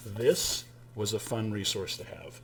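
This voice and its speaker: A man's voice: on the low side, fairly even intonation, unhurried, fairly bright tone, a little hiss or hum. A man hosting his own channel, giving a casual spoken overview of a tabletop game kit, with frequent fillers and restarts. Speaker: channel host and game master.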